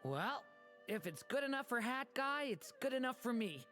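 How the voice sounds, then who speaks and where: A woman's voice: on the high side, very animated, moderate pace, fairly bright tone, faint music behind. An actress playing a thoughtful, plain-spoken young woman in a video game. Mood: thoughtful, matter-of-fact.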